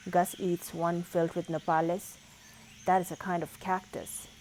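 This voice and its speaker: Low-pitched woman reading a children's story. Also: flat delivery, normal speed, a little mellow, slight background noise. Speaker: read-aloud storyteller. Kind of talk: scripted children's picture book narration.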